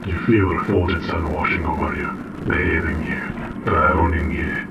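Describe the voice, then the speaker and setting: A man's voice: a bass voice, fairly even intonation, moderate pace, dull audio, heavy background noise. A man voicing an ominous, solemn dream narrator who tells a nightmare in portentous, ornate language for an audio drama. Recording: distant voice under loud music.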